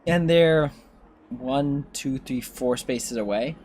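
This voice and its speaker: A man's voice: medium pitch, takes it slowly, darkish tone, a little hiss or hum. A young man giving casual livestream game commentary, thinking aloud as he plays and chatting with viewers. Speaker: game streamer.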